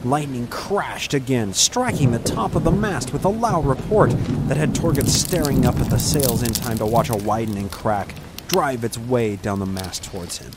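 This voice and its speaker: A man's voice: mid-range voice, lively intonation, moderate pace, heavy background noise. A man narrating a scripted adventure story in an intense, dramatic storytelling manner.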